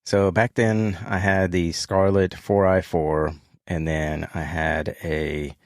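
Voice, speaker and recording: A man with a very low, calm voice chats easily as a podcast guest and laughs often. The recording is close and dry, with no room sound at all. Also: no room sound. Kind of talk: casual podcast conversation.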